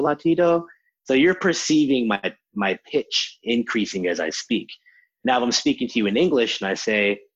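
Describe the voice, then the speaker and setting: A man, a language teacher, answering interview questions in an animated way and acting out quotes. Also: fairly low pitch, husky, muffled recording. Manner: animated explainer, acts out quotes. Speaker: language teacher, podcast guest.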